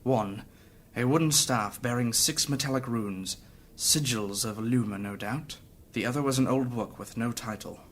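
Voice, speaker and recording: A man's voice: medium pitch, normal speed, hardly any pauses, slight background noise, natural voice. A man playing a wounded, earnest adventurer who tells his story courteously and formally in a fantasy audio drama. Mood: reflective.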